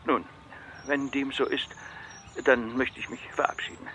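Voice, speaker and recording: An actor playing a pompous, zealous insect researcher in a comic radio play, speaking formally and urgently. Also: male voice, medium pitch, fairly even intonation, a little slow, sharp tone, a little hiss or hum. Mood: formal, self-important. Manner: formal, self-important, urgent.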